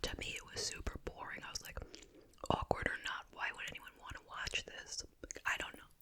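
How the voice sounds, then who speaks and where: An ASMR host speaking in a breathy whisper, telling casual, gossipy stories with pauses in a chit chat style. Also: bright, forceful tone.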